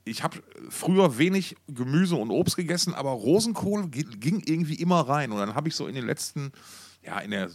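A man's voice: on the high side, lively intonation, a little fast, no room sound. A man chatting casually as a podcast co-host, rambling and often repeating words as he searches for them. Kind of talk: casual chat between two podcast hosts.

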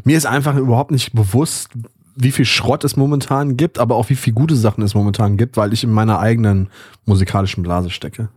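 A man's voice: mid-range voice, steady tone, brisk, a little mellow, husky, dry recording, clean studio sound. A man co-hosting a podcast in casual chat, asking questions in a hesitant, self-correcting way. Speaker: podcast co-host.

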